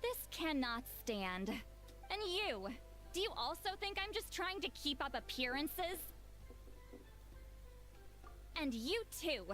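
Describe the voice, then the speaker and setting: A woman's voice: high-pitched, very animated, measured pace, fairly bright tone, soft background music, exaggerated voice. A woman voicing a sleepy, grumpy elder who talks in a grumbling, self-mocking way in a game cutscene. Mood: indignant.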